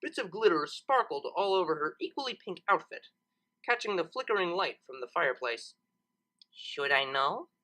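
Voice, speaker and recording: Female story narrator. Slightly low voice, animated melody, expressive scripted reading of fiction. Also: a little fast, poor audio.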